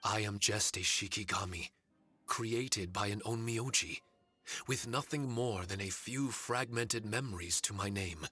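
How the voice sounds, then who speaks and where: A man playing a solemn, courteous male spirit servant in an anime game, speaking formally and earnestly in a measured way. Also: fairly low pitch, bright, forceful tone, breathy edge, full character voice. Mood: solemn, resolute. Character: solemn, courteous male shikigami spirit warrior with fragmented memories. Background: faint music.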